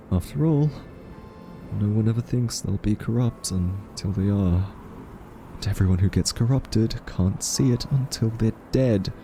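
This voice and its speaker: A man playing an earnest, quirky scientist in a roleplay audio drama, soft-spoken, hesitant and argumentative as a thoughtful captive. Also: fairly low pitch, gravelly, slight background noise, full character voice.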